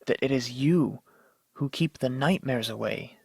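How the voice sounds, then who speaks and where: A man's voice: medium pitch, measured pace, creaky, a little mellow, breathy edge, no room sound. A man voicing a kind, deferential young unicorn student in a radio drama, earnest and hesitant. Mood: earnest, tender. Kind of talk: fan-made radio drama acting.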